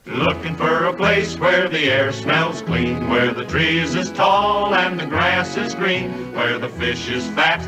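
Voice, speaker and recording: A folksy balladeer singing in country style. His fairly high voice is echoey and unhurried. Music backs him clearly on a 1950s record. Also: lively intonation. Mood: rousing.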